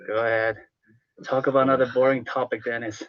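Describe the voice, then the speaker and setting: A man's voice: medium pitch, flat delivery, a little fast, no echo, highs cut off. A man bantering as a radio panelist in a sarcastic, teasing manner. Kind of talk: casual banter. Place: radio studio.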